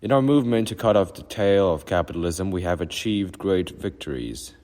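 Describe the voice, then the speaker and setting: A man's voice: low voice, very animated, moderate pace, in a large hall, pitched above the performer's own voice. A man voicing a pompous, officious male brigade leader who bellows his announcements.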